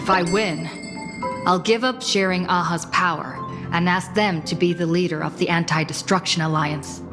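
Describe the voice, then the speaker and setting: A woman's voice: on the low side, fairly even intonation, normal speed, lightly acted. An actress playing an earnest young woman protagonist in a video game, speaking in a plain, direct way. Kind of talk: scripted game voice acting. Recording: long reverb, loud music behind.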